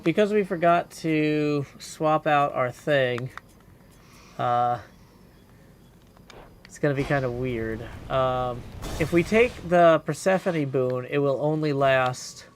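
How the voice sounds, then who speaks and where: A man hosting a livestream, casually commenting on a game and thinking aloud with some hesitation. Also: mid-range voice. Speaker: livestream host. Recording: faint background noise.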